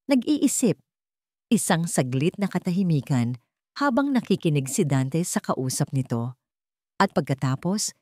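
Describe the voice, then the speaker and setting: Female audio-drama narrator, lowish pitch, measured dramatic pacing, very dry close sound. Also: mellow, no echo. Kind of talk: dramatic scripted story narration.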